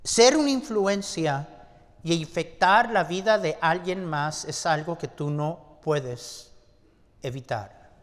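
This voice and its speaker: A man preaching a sermon to his congregation, deliberate and asking them rhetorical questions. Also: a little high, fairly bright tone. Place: church sanctuary. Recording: long church-hall reverb.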